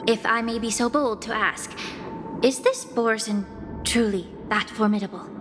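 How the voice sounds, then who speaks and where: A woman voicing a composed young fantasy healer in a video game, speaking in a measured, formal and articulate way. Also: fairly high pitch, background noise, natural voice. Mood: questioning, quietly bitter. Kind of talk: scripted video game dialogue. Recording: long reverb, clear music underneath. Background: game music.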